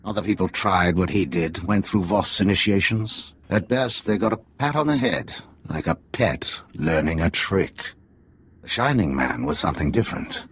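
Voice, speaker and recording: A man playing a jaded middle-aged undercover spy in a video game, with a gravelly, husky voice and a sardonic, deliberate manner. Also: low voice, animated, fairly bright tone, full character voice. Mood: wry briefing. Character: jaded male Imperial spy posing as alien tea house owner, middle-aged father. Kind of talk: cinematic video game dialogue.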